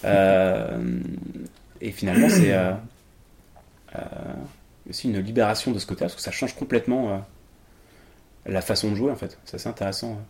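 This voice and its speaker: A man's voice: on the low side, lively intonation, moderate pace, long pauses, a little mellow. A young man from England whose first language is English, speaking in a casual interview with many fillers and restarts. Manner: hesitant, many fillers and restarts, laughs easily. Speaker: interview guest, improv actor.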